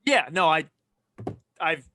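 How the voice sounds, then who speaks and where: A man's voice: on the high side, animated, slow pace, sharp tone, slight background noise. A man co-hosting a sports podcast in casual, conversational banter. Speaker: podcast co-host.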